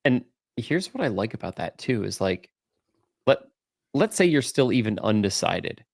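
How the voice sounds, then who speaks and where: A man's voice: lowish voice, very animated, normal speed, creaky, darkish tone, no echo, clean studio sound. A man from the northern US co-hosting a casual tech podcast, talking with energy and giving firm, opinionated advice. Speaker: podcast co-host.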